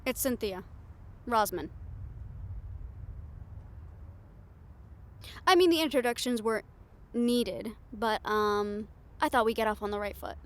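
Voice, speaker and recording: A woman's voice: on the high side, normal speed, lightly acted. A woman playing a brash, cynical, foul-mouthed reporter in an improvised audio roleplay, with a blunt, sardonic manner. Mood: frank, wry.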